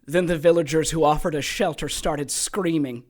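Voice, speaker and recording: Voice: medium pitch, steady tone, moderate pace, close to an everyday voice. An actor playing a sadistic sorcerer and former soldier in an audio drama, taunting and theatrical, with gleeful menace. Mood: grim storytelling. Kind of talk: audio drama acting, storytelling monologue.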